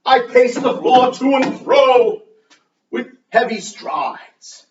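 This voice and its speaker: A man playing a gleeful, cunning, frantic mad murderer in a theatrical gothic horror reading, with halting phrasing that swings from hushed to loud. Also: extremely high pitch, expressive, slight background noise, pitched above the performer's own voice, full character voice. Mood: anxious, frantic. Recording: distant mic, roomy echo, muffled.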